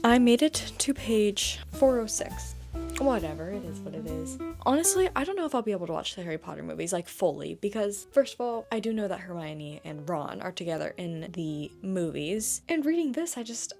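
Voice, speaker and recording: A woman's voice: mid-range voice, a little mellow. Effusive, slangy woman chatting, music behind. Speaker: book vlogger. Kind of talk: casual chat to camera in a reading vlog.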